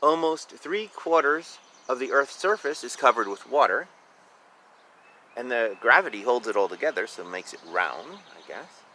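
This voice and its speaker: A man's voice: medium pitch, animated, moderate pace, sharp tone, a little hiss or hum. A man reading a children's picture book aloud expressively and informally, drawing out words and adding casual asides about the pictures. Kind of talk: animated storybook read-aloud with casual asides.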